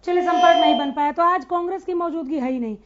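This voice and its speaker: Very high-pitched woman news anchor. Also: quick pace. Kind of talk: live TV panel moderation. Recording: dull, band-limited broadcast audio.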